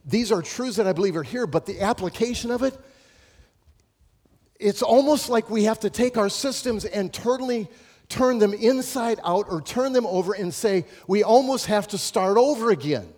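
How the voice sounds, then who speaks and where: A man preaching to his congregation in a somewhat high voice. He presses his points with rising emphasis and then settles into calm, explanatory teaching. He addresses the listeners directly as friends. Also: moderate pace. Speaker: pastor. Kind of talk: sermon.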